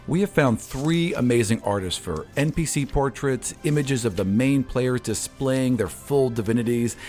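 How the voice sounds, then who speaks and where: A man speaking as game master and host of a fantasy tabletop podcast. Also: mid-range voice.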